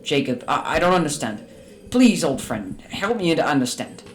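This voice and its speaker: An actor playing a grumpy, suspicious old miser in a radio drama, with a blustering, theatrical delivery. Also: male voice, fairly high pitch, normal speed, a little hiss or hum. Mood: bewildered. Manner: excitable, indignant, blustering.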